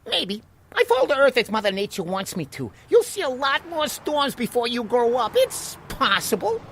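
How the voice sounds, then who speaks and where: A man's voice: very high-pitched, wildly swooping pitch, normal speed, fairly bright tone, slight background noise, heavily acted. A man voicing a small, friendly, earnest water molecule in a radio play, curious and a little weary.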